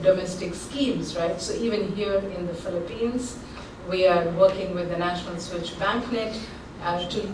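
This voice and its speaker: Lower-voiced woman giving a keynote in an echoey hall. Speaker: keynote speaker. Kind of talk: keynote speech. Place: large conference hall. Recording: echoey hall, distant mic, background noise.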